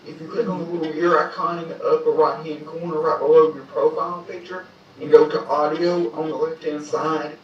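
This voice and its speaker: A man's voice: fairly high pitch, flat delivery, fairly quick, a little hiss or hum. A man on a video call patiently explaining settings step by step. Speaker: tech helper on a video call. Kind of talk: casual step-by-step instructions. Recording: echoey, distant, dull call audio.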